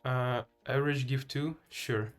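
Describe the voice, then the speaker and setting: A man's voice: medium pitch, fairly even intonation, a little slow, slight background noise. A man from Ukraine with a Ukrainian accent, giving casual live game commentary and chatting to viewers in a hesitant, thinking-aloud way. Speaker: live streamer playing chess.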